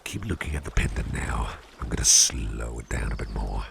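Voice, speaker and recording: Male actor as calm businessman boss for ASMR roleplay: extremely low, unhurried, playful and soft, with clear ambient noise behind. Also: full character voice. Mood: slow, soothing. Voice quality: very deep, gravelly. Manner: soft, soothing, unhurried, often dropping near a whisper. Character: calm, playful businessman boss hypnotizing his employee with a pendant. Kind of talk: slow, intimate ASMR roleplay.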